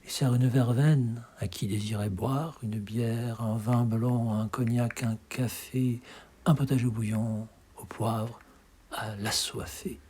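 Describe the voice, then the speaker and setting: A man with a breathy, husky voice reciting poetry expressively, in measured dramatic phrases that often sink to a hush. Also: medium pitch, fairly even intonation. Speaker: poetry reader.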